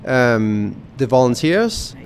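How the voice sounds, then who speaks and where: A man's voice: mid-range voice, big pitch swings, slow pace, slight background noise. A young adult man from Denmark with a Danish accent, a museum director chatting in a radio interview with lots of fillers and restarts. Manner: hesitant, many fillers and restarts.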